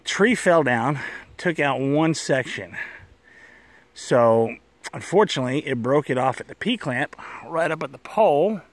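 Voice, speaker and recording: A man telling stories in a casual, folksy, unhurried vlog style with a wry manner, recorded outdoors on a handheld phone. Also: a little high, expressive, dry recording. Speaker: vlogger, cable installer. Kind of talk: casual first-person storytelling vlog. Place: outdoors on a wooded trail.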